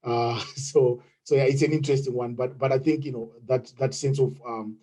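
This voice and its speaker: A man from Zimbabwe with a clear Zimbabwean accent speaks over a video call. His voice is dark, mellow and a little husky. He talks haltingly, with many fillers and restarts. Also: medium pitch, fairly even intonation. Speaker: webinar participant. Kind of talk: unscripted contribution in an online meeting.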